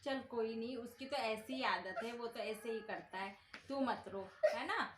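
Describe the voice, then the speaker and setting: A woman's voice: fairly high pitch, measured pace, heavy background noise, close to an everyday voice. A woman playing a caring, consoling mother-in-law in an amateur moral story, speaking in a motherly way. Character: soothing, caring mother-in-law, gentle with daughter-in-law.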